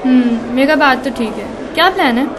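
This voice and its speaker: A woman's voice: sits high, moderate pace, crowd noise, natural voice. A woman playing a friendly, persuasive young college student in casual radio drama slang. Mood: eager, chatty. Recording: noisy, crowded classroom ambience behind.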